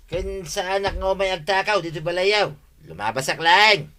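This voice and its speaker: An actor playing a plain-spoken, hard-working village farmer with an Ilocano accent in a radio drama. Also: male voice, high-pitched, normal speed, sharp tone, slight background noise. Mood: matter-of-fact. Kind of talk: comic radio drama acting.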